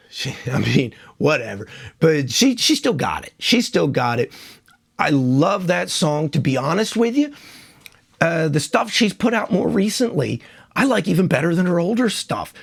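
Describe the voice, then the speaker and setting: A man's voice: a little high, vocal fry, a bit bright, breathy edge. A man who is losing his voice from a cold, hosting a casual music countdown in a chatty, enthusiastic, rambling way. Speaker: music video host.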